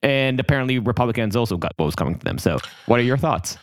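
A man's voice: medium pitch, expressive, fast talker. A man co-hosting a podcast, chatting casually with wry quips. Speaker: podcast co-host. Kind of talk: casual chat.

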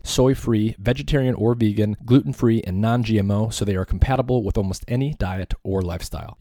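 Low-voiced male podcast host reading an ad. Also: dry recording, clean studio sound. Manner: steady, scripted ad delivery. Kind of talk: read ad and interview questions.

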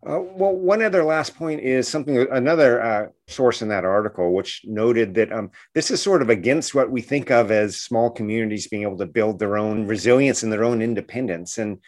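A man's voice: medium pitch, fairly quick, few pauses. A man speaking as an expert guest in a radio interview, explaining things earnestly and often checking in with a short questioning tag.

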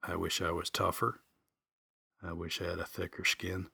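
A man's voice: sits low, monotone, moderate pace, creaky, bright, forceful tone, breathy edge, dry recording, clean studio sound. A middle-aged man telling a personal story in a reflective, folksy, plain-spoken way. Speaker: podcast host and singer-songwriter. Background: acoustic guitar music.

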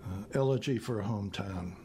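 A man in his seventies with an American accent, reading his own poetry and prose in a deliberate, measured way. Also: on the low side, mellow, slight background noise. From northeastern United States. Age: 70s. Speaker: poet reading own work.

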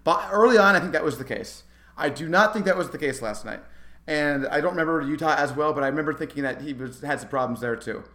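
A man's voice: a little high, quick pace, pushed and bright. A man co-hosting a sports podcast, giving casual, emphatic and opinionated analysis in a roomy space. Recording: roomy, echoey sound.

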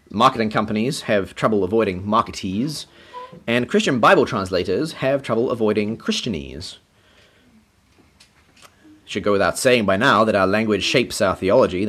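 A man preaching a teaching sermon, explaining scripture in a measured, explanatory way. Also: mid-range voice, a little hiss or hum. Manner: explanatory, measured, occasional light laugh.

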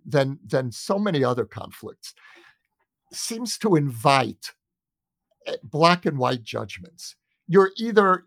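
A man's voice: on the high side, no echo. A man from the United States speaking as an interview guest, making an earnest conversational argument with emphatic, repeated phrasing. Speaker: interview guest, journalist and author.

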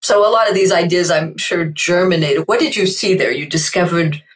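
A woman's voice: on the low side, normal speed, distant mic. A woman hosting a podcast interview, summarizing her guest's ideas and asking probing follow-up questions. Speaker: podcast host.

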